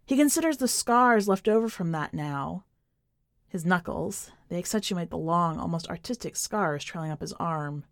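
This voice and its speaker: A woman reading a short story in an expressive, scripted narration style. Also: on the low side, normal speed, dry recording, studio quality. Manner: expressive, acts out dialogue.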